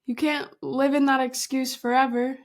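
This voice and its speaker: A woman's voice: high-pitched, flat delivery, normal speed. A woman of twenty-two hosting her own podcast, chatting casually with giggles, emphatic pep talk and mild swearing. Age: 20s. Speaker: podcast host.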